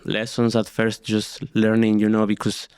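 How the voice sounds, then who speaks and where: Man, interview guest, lowish voice, laid-back, lots of fillers. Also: completely flat delivery, moderate pace, darkish tone, smooth. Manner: easygoing, fillers like "you know". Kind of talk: casual interview chat.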